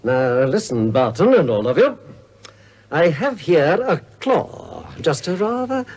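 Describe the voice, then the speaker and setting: An actor playing a sadistic, menacing villain professor in a radio serial, speaking with relish and theatrical precision. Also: male voice, a little high, big pitch swings, unhurried, a little mellow. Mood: gloating menace. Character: sadistic, silky-voiced male villain professor with a steel claw. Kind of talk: 1970s British radio serial acting. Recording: noisy radio drama with car sounds.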